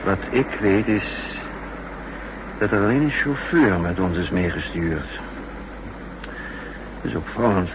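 A low-pitched male secret agent, cool and resourceful under threat. He explains patiently with dry wit, in 1960s radio drama style. The old recording is plainly noisy. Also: takes it slowly, pauses often. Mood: grim, composed. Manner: calm, wry, explaining patiently. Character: cool, resourceful captured male spy narrating his story.

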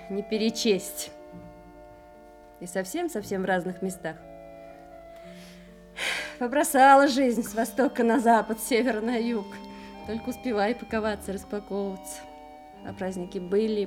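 An actress playing a middle-aged army officer's wife, cheerful and sentimental, reminiscing expressively in a Soviet radio play. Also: female voice, medium pitch, normal speed, pauses often, music in the background, lightly acted. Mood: wistful.

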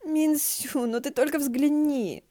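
A woman voicing an indignant, excitable young male wind deity with a theatrical, exclamatory manner in a storybook reading. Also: sits high, takes it slowly, pitched above the performer's own voice.

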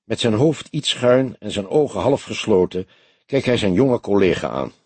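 A man's voice: lowish voice, lively intonation, normal speed, a little mellow, breathy edge, no echo. A man reading a detective novel aloud as an audiobook narrator, in scripted storytelling style.

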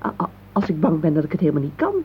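An actress playing an anxious, doubtful but affectionate middle-aged wife in a radio play, halting as she questions. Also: low-pitched, very animated. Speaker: radio drama actor. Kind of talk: intimate radio play dialogue. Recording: muffled phone-like old radio recording, hissy.

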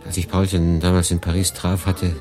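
A man's voice: low-pitched, monotone, moderate pace, lightly acted. An actor playing a weary, reflective refugee who looks back and narrates his story in a brooding, confiding way in a radio play. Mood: wry, confiding. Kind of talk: dramatic first-person narration in a radio play. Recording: music behind the voice.

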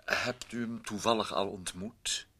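An actor in a radio play voicing an ingratiating, meddling gentleman neighbour who wheedles and repeats himself with fussy politeness. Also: on the low side, fairly even intonation, a little slow, breathy edge, full character voice. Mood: smooth, insinuating.

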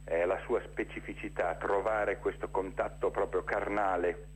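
A low-pitched man gives an explanatory radio interview. He speaks spontaneously, pausing inside phrases and repeating words for emphasis. The line carries faint noise. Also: steady tone. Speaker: interview guest, theatre director presenting a festival. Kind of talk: spontaneous informational interview talk.

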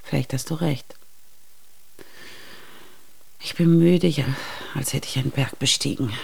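A weary ageing mother in a radio play, with a deep female voice and a crackle of fry. She sounds wistful and reflective, keeping everything understated. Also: fairly even intonation, a little slow, heavily acted. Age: middle-aged or older. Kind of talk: German radio drama acting.

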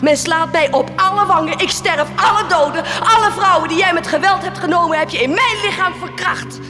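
A woman's voice: very high voice, normal speed, music in the background. An actress playing a proud, compassionate woman of the poor in a Dutch radio play, solemn and impassioned. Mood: bitter, solemn.

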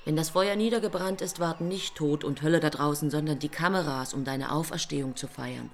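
A coolly mocking angel in a radio play. Her voice sits on the low side, and she teases and taunts with dry irony. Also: fairly quick, slight background noise. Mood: taunting. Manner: taunting, ironic.

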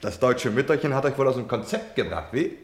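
An actor playing a defiant, self-justifying German man in a radio play, declaiming theatrically between hushed confiding and shouting. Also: medium pitch, expressive, fairly quick, echoey room, lightly acted. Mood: scornful.

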